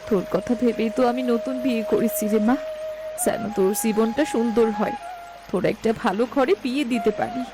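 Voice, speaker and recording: A woman's voice: fairly high pitch, a little fast, over music, natural voice. A woman playing a patient middle-aged mother in a melodramatic cartoon story, with a plaintive, pleading and soothing delivery. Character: gentle, long-suffering middle-aged mother and stepmother.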